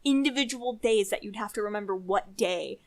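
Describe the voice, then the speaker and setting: A young adult woman co-hosting a casual chat, telling stories in an animated, exasperated way with drawn-out emphasis. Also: medium pitch. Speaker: podcast co-host.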